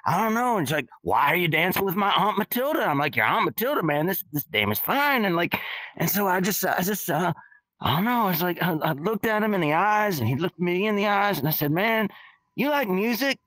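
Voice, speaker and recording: A man's voice: high-pitched, big pitch swings, brisk, fairly bright tone, no room sound. A man playing a laid-back, centuries-old skeleton rocker who talks like a drawling slacker and says "man" constantly. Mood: chill. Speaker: bassist and singer in the guest band. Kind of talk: in-character storytelling. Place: virtual-world podcast, dry sound.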